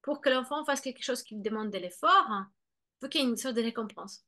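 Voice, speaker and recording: A woman's voice: fairly high pitch, a little fast, bright, forceful tone. A woman explaining bilingual children in a conversation, speaking hesitantly with many fillers and restarts, and acting out what children and parents say. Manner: acts out children's and parents' words. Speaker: guest expert on bilingual children.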